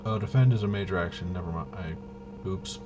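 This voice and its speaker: A man thinking aloud in casual tabletop gameplay commentary, halting and muttering to himself. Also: deep voice, noisy background. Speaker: tabletop RPG player and presenter.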